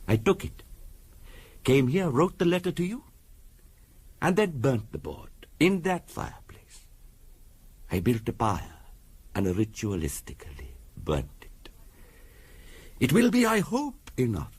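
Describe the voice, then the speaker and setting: Solemn seance medium, old radio play, slow n measured, bit breathy, very patient and earnest. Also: male voice, medium pitch, wildly swooping pitch, full character voice. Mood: grave, intense. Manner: measured, formal, insistent, sometimes hushed and hypnotic. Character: grave, earnest male spiritualist medium, formal and patient. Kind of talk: 1970s radio drama acting.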